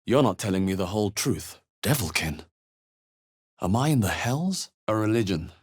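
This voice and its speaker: A male fantasy game hero on the road. He is wary and level-headed, speaking in short scripted lines. His delivery is measured and earnest, with a theatrical edge. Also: fairly low pitch, big pitch swings, slightly rough. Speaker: video game player character. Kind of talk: scripted game voice lines, short exploration remarks and spell chants.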